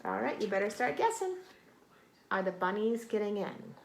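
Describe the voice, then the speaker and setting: A woman in her thirties or forties reads a children's storybook expressively, with deliberate dramatic emphasis, speaking directly to young listeners. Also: mid-range voice, big pitch swings, vocal fry. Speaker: teacher reading a picture book aloud to children. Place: small indoor room. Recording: roomy echo, faint noise.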